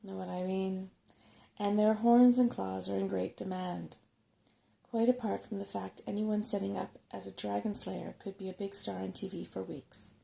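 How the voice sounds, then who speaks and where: A woman's voice: mid-range voice, fairly even intonation, moderate pace, creaky, dark tone. A woman voicing a self-satisfied old male rat, a chatty and cautious know-it-all, in a bedtime story. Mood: knowing, curious.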